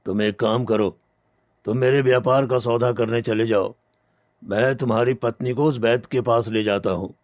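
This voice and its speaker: A man's voice: mid-range voice, steady tone, moderate pace, a little mellow, no room sound, studio quality, close to an everyday voice. A man playing a callous, scheming elder brother and rich moneylender in a cartoon story, grave and commanding. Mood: feigned concern. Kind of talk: cartoon moral story acting.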